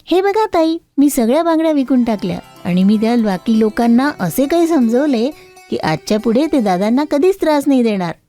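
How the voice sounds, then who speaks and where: Kindly young goddess for a storybook cartoon, played by a woman; high voice, reassuring yet firm, music behind. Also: very animated, a little fast, dark tone. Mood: pleased. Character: kindly goddess disguised as a young bangle seller.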